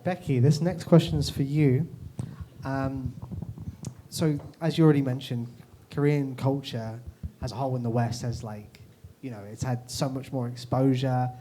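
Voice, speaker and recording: A man's voice: medium pitch, dark tone, slight background noise. A man hosting a panel, putting questions in a casual conversational style. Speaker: panel host. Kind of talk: casual moderating.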